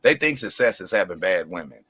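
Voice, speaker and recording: A man with a lowish voice that has a bright, forceful edge talks bluntly and with energy. He uses slang freely, like a panelist in a casual live chat. The sound is dry. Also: very animated, a little fast, slightly rough, no echo. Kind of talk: casual live-stream discussion.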